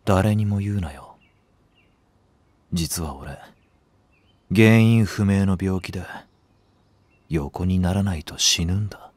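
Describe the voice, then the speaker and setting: A man's voice: sits low, slow pace, pauses often, a little mellow, dry recording. A man voicing a lazy, sardonic young nursery teacher in a voice drama, languid and teasing. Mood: nonchalant, teasing. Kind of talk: Japanese voice drama acting.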